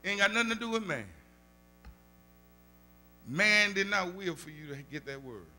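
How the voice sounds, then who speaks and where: An older man with a Southern US accent, a pastor teaching Bible study in a church sanctuary, preaching into a stand mic with call-and-response and rising shouts. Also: sits high, lively intonation, normal speed, soft background music. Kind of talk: animated preaching. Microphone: stand mic at the pulpit.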